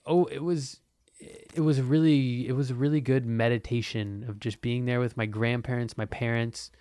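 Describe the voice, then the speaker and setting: A man's voice: mid-range voice, steady tone, normal speed, dark tone, clear, resonant tone, no room sound. A man in his twenties hosting a podcast, talking in a loose, profane, meandering way with lots of restarts. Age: 20s. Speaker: podcast host. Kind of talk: casual rambling monologue.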